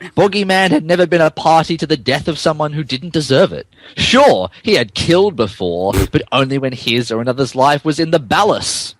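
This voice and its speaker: Male podcast narrator, lively swings in pitch, mock-dramatic, stumbling comic read, dry close sound. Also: moderate pace, no room sound. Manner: mock-dramatic, often stumbles over words. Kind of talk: comic dramatic reading and casual banter.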